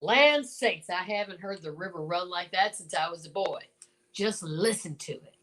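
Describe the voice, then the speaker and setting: A woman's voice: lowish voice, animated, moderate pace, a bit bright, slight background noise. A woman playing a folksy older male small-town marshal, hemming and exclaiming in old-time radio drama style. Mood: urgent.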